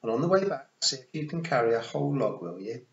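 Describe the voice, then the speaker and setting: A man's voice: medium pitch, very animated, fast talker, pitched above the performer's own voice. A man voicing a severe young girl in an audiobook, firm and clipped like a bossy, parental big sister. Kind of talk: audiobook character voice. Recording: muffled, roomy recording.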